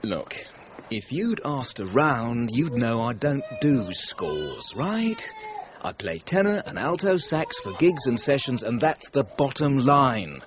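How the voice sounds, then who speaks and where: A man's voice: medium pitch, very animated, takes it slowly, crowd noise. A scruffy, defensive British musician in a radio crime drama. His medium voice leaps around wildly, jittery and slangy. The audio is somewhat dull. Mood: incredulous, defensive. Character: shifty, scruffy male jobbing musician, defensive. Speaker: actor.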